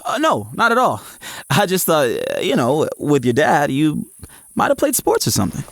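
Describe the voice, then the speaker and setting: An actor playing an eager, frazzled young male athlete, narrating his own story in an audio drama with expressive, at times hesitant delivery. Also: on the high side, normal speed, no echo, clean studio sound, full character voice. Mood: puzzled, eager. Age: young adult. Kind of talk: first-person audio drama narration and dialogue.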